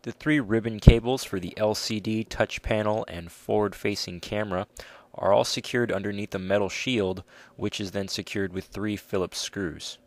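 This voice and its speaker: A man's voice: on the low side, fairly even intonation, normal speed, no room sound. A man reads scripted step-by-step instructions as the narrator of a repair tutorial.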